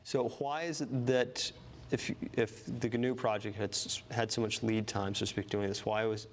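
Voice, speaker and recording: A male interviewer asks curious questions off camera, sometimes restarting a sentence. His voice sits on the low side. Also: fairly even intonation, normal speed, a little hiss or hum.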